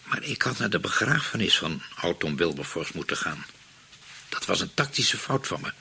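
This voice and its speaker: Casting: a hesitant, money-minded husband in a radio play, Netherlands Dutch accent, creaky and bright-edged. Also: male voice, deep voice, big pitch swings, normal speed, slight background noise, heavily acted. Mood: worried, rueful. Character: spendthrift husband fretting over an aunt's inheritance.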